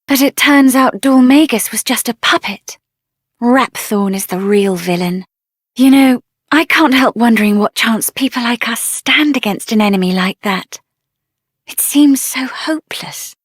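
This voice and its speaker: An actress voicing a spirited, headstrong young woman adventurer in a video game, expressive and earnest, with a tender side. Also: on the high side, measured pace, no echo, studio quality. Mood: troubled, downcast.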